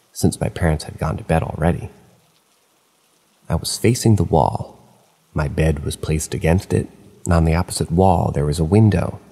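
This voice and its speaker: Man, very low voice, husky, steady story reading, dry asides, long reverb tail. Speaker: narrator of online horror stories. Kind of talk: reading stories aloud.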